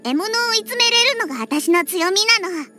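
High, bright, pouty little catgirl. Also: lively intonation, a little fast, exaggerated voice. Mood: affectionate, shy. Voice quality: high, childlike, cutesy voice. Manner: pouty, huffy, with cat-like verbal tics. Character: feisty little catgirl bartender who hates alcohol. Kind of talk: Japanese anime game character acting. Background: background music.

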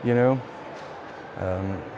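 Quebec French man, halting speech. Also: deep voice, muffled recording. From Quebec, Canada. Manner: halting, many fillers and restarts. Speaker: brewery founder. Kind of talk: on-camera interview answer. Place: indoor beer festival hall. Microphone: lapel mic. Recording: hall noise behind.

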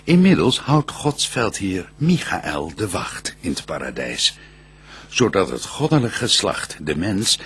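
An actor playing a solemn, reverent archangel, declaiming verse in a stately, measured manner in a radio play. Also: male voice, fairly low pitch, fairly bright tone, husky, full character voice.

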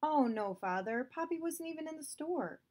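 A woman reading aloud, voicing an anxious son who hesitates and stammers, pitched higher than her narration. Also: expressive, moderate pace, warm tone. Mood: nervous. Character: anxious young son caught in a lie.

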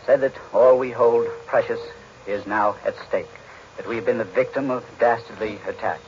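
An American man giving a measured, formal 1940s radio news report as an analyst. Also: on the low side, darkish tone. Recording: muffled, noisy 1940s radio recording.

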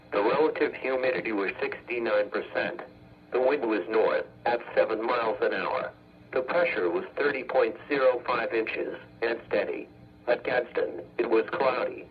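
A synthetic male text-to-speech voice reading an automated weather bulletin as a radio announcer, in measured, formulaic segments. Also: medium pitch. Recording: muffled weather radio broadcast.

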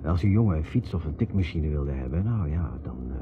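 A man's voice: very deep voice, animated, quick pace. An actor playing an earnest, persuasive man in a radio drama, in a conversational way. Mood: earnest, reflective. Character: Ted, earnest persuasive middle-class American man. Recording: noisy, muffled old radio drama recording.

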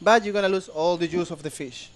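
A man's voice: sits high, fast talker. A man from Chile with a strong Chilean Spanish accent, a ship's chef joking with the audience in broken English during a live cooking demonstration. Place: ship's show kitchen before an audience.

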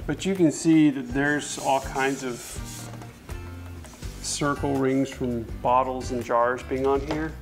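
Gravelly man chatting through a staining job. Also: mid-range voice, takes it slowly. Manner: relaxed, rambling, self-correcting mid-sentence. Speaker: furniture refinisher. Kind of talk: casual how-to narration while working. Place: indoor workshop at a paint-spattered table. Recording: music playing under the voice. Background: music.

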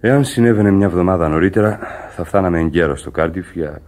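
An actor playing a formal, regretful ship captain in a radio play. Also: male voice, sits low, darkish tone, lightly acted. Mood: grave.

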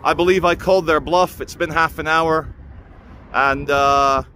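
A man's voice: fairly high pitch, fairly even intonation, unhurried, pushed and bright, a little hiss or hum. A man from Scotland, filming himself outdoors on a handheld phone, gives teasing, chatty commentary and argues politely. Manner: playful, teasing, politely argumentative. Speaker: vlogger filming a confrontation. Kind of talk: chatty, joking running commentary to camera. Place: outdoors by a gate and car park. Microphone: handheld phone camera.

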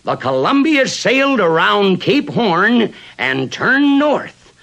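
A man delivering old-fashioned newsreel narration in a grand, ceremonious way. His voice sits high and swoops widely as he draws out every phrase slowly. There is a gravelly edge beneath a bright tone. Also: sing-song. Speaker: narrator of a vintage park film.

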